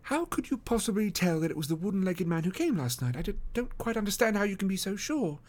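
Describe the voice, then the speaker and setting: A storybook gentleman detective of Victorian London, played by a man, with a swooping, lifted pitch. He is shrewd and genteel, coaxing smoothly and blandly. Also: very animated, quick pace. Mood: bland surprise.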